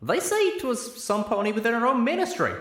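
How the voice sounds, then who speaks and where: Woman playing a high, lilting pony mare. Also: very animated, a little fast, a bit bright, reverberant. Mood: uneasy.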